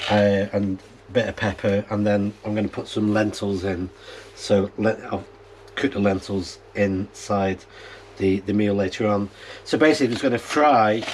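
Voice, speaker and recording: A man with a British accent chatting casually to his vlog in an unhurried, rambling, understated way. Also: fairly low pitch. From United Kingdom. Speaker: amateur radio hobbyist and vlogger. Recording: clear steady background noise.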